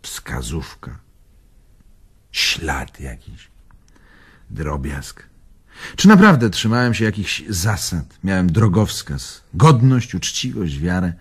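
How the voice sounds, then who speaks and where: An actor playing a weary, disillusioned old man near death in a radio drama, brooding and halting, with a husky, gravelly voice. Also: sits low, very animated, full character voice. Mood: brooding, doubting. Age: older.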